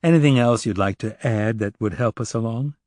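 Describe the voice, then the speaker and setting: A man voicing a composed female police sergeant in an audiobook, speaking in a measured, direct way. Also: medium pitch, a little mellow, no echo, studio quality, natural voice. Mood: probing. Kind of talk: audiobook character dialogue.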